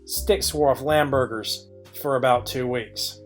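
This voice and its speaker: A man acting as a cool noir private eye in a mystery audio play, in a medium-pitched voice. He lays things out deliberately, with confidence and wry wit. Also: fairly even intonation, music in the background, natural voice. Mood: narrating. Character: cool, clever male private detective.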